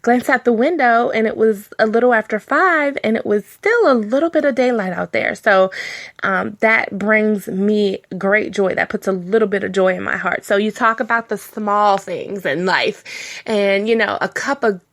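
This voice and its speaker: A woman's voice: mid-range voice, moderate pace. A woman hosting her own internet radio show, talking casually with a congested, nasal voice from a cold and rambling with many ums and restarts. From Washington, D.C. area. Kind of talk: casual solo radio talk.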